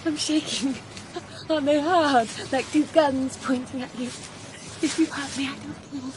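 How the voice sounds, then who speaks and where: A woman's voice: high-pitched, steady tone, unhurried, background noise. An actress playing a passionate young woman in love in a radio drama, intimate and emotional as she speaks and narrates her romance. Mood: giddy, passionate. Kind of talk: radio drama acting with first-person narration.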